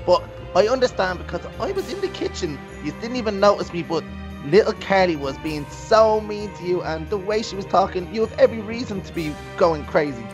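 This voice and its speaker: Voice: mid-range voice, expressive, a little fast, music in the background, lightly acted. A performer voicing a sly, scheming young woman troublemaker with an Irish accent, talking in a coaxing, sing-song way. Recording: dull muffled recording.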